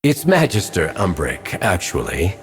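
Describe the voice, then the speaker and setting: Deep, expressive, wry elven mage. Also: male voice, measured pace, full character voice. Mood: pleased surprise. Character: wry, proud elven void scholar, a mage. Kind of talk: fantasy game voice acting.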